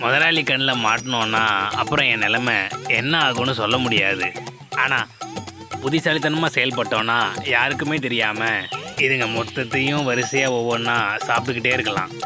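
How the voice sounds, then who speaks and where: A man's voice: mid-range voice, normal speed, natural voice. A man voicing a sly, hungry cartoon predator who poses as a wheedling folk medicine peddler. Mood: scheming. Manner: scheming, sing-song hawking, wheedling. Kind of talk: children's cartoon voice acting. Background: loud music.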